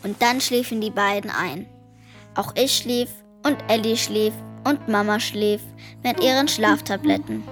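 A woman's voice: medium pitch, sing-song, unhurried, a bit bright, faint music behind. A woman playing a precocious older child, telling a family radio play in a knowing storytelling manner. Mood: wry narrating. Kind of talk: German radio play narration.

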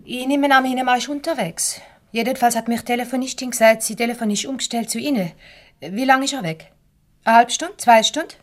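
Actress as high-voiced, jealous, haughty French-accented wife. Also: female voice, natural voice. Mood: indignant. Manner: imperious, halting, searching for words. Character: jealous, indignant boss's wife, haughty and wounded. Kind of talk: Swiss comedy radio play acting.

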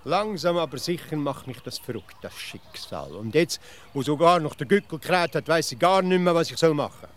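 A man's voice: on the high side, expressive, normal speed, natural voice. A man playing a fretful, indecisive, simple-minded provincial Swiss man in a comic radio play, with a Swiss German accent and a hesitant, plaintive delivery. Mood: baffled. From Switzerland.

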